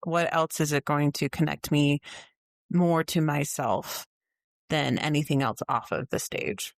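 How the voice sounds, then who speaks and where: A woman's voice: low-pitched, unhurried, gravelly, no room sound, clean studio sound. A woman co-hosting a podcast, talking earnestly and thoughtfully, often with a laugh. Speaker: podcast co-host. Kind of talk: casual reflective chat.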